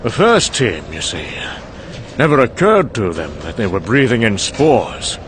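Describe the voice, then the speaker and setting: A man's voice: lowish voice, sing-song, measured pace. A man playing a weary, sherry-soaked older scientist, rambling conversationally and hesitantly in a wry, confessional monologue. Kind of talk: dramatic monologue. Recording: clearly noisy background ambience.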